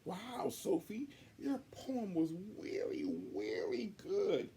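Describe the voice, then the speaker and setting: A man voicing a sympathetic, gushing little girl classmate with drawn-out, sweet delivery in a storybook. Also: high voice, expressive, creaky, mellow, a little hiss or hum, pitched above the performer's own voice, full character voice. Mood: admiring.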